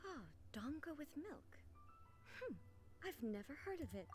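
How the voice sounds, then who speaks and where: An actress voicing a calm, commanding female ruler in a video game, speaking in a composed, measured way. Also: high voice, soft background music. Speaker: game character.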